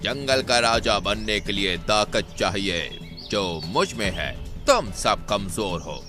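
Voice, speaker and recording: A man voicing an arrogant, boastful village bull in an animated animal cartoon. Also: lowish voice, slow, music in the background. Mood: domineering, boastful. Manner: boastful, taunting, challenging.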